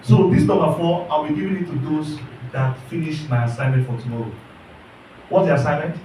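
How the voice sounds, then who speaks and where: A man's voice: a little high, a little fast, dark tone, a little hiss or hum. A man teaching an online class, earnest and repetitive, often checking in with his listeners. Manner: earnest, repeats phrases, asks the class questions. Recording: echoey room, voice from far off.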